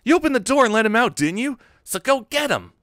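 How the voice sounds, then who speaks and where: A man plays a sharp-tempered young woman who runs a detective agency in a comic. The voice is lifted well above a man's normal talk, with an edge to it. It is lively and bossy. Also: fast, no room sound, studio quality, heavily acted. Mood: angry. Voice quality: raised, edgy high voice.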